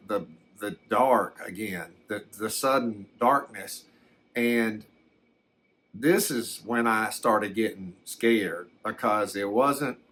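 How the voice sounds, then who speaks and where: A man voicing a folksy storyteller who recounts a frightening encounter in a halting, rambling ASMR style, often stammering and backtracking. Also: medium pitch, a bit bright. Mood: earnest, unsettled. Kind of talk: first-person ASMR storytelling.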